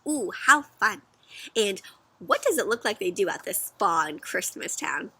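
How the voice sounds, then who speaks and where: A woman reading a storybook aloud for children in an expressive, interactive way, putting questions to the listener. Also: medium pitch, measured pace, husky, dry recording.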